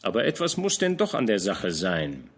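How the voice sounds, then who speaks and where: A man voicing a shrewd, fatherly older field captain who speaks in a knowing, admonishing way, with lively pitch. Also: moderate pace, slightly rough, heavily acted. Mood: probing.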